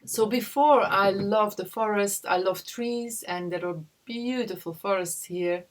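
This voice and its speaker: A woman from Belgium, speaking from her home in a video call interview, pausing often to search for words. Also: mid-range voice. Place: home in a rural village.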